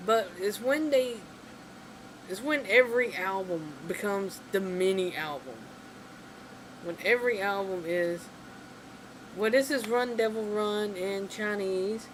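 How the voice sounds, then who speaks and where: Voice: mid-range voice, fairly bright tone, rough recording. Exasperated rambling vlogger over AC hum. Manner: rambling, exasperated, heavy on "you know" and "like". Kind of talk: casual opinion rant to camera.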